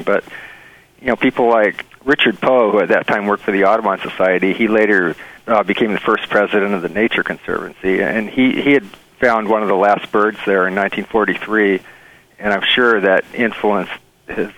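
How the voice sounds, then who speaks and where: A man being interviewed as a bird researcher, telling stories in an earnest, enthusiastic, halting conversational way. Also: lowish voice, creaky, fairly bright tone, low-quality recording.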